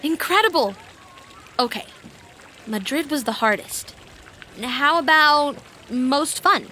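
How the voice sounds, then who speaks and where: A woman's voice: high voice, animated, takes it slowly, bright, forceful tone, a little hiss or hum, exaggerated voice. A woman playing a curious, easily amazed young friend in an audio drama who asks question after question.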